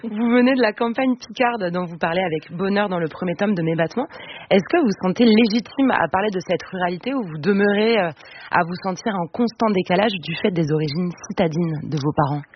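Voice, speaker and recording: A male radio host asks polished questions and drops quick, playful asides. His voice sits very high. The studio sound is a little dull, with a faint hiss. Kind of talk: radio interview.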